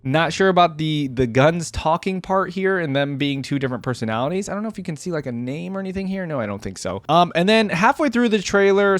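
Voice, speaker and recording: A man's voice: fairly high pitch, no room sound. A man hosting a reaction video, chatting casually and thinking aloud.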